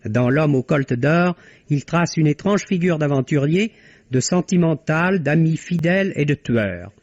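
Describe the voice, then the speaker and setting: A man reading a scripted film review on an old radio broadcast, in a measured, articulate way. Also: fairly high pitch, expressive, darkish tone, no echo. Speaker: film critic. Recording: dull lo-fi archive radio recording.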